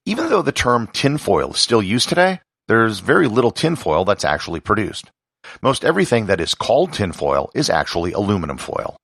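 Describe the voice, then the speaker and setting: A low-voiced American man reads scripted educational narration as a podcast host. His voice is husky and gravelly, and his pitch moves very widely as he lands key words with deliberate emphasis. The sound is close and dry. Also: a bit bright, no room sound. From Wisconsin, United States.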